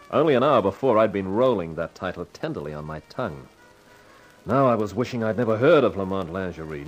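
Hard-boiled wisecracking ad man, low husky voice, very expressive, 50s radio noir. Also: poor audio. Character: wisecracking hard-boiled male advertising manager and narrator. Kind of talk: 1950s radio drama acting, noir narration.

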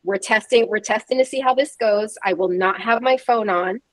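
A woman guest on an interview podcast, reflective and candid, often pausing with "um" and restarting her sentences. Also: mid-range voice, dry recording. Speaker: guest, PR agency founder.

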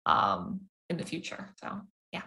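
A young woman, an animation filmmaker, talks through her answers with frequent restarts and searching pauses. Her voice sits a little low for a woman, and it is bright and forceful. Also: very animated, creaky. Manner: halting, searching for words with many restarts. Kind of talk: casual interview answers.

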